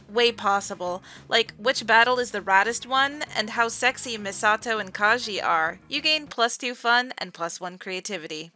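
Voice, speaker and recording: A woman's voice: mid-range voice, sharp tone. A woman reading scripted narration for a visual novel style video game dub.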